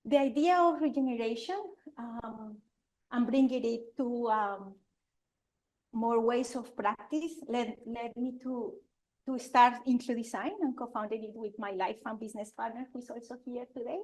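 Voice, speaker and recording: This is a woman from Colombia, in her forties, giving a talk to an audience, her voice sitting a touch higher than most. She has a clear Colombian Spanish accent and an earnest, halting delivery, often stopping to restart a phrase or add an um. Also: darkish tone, poor audio. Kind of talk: conference presentation. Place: lecture venue with audience.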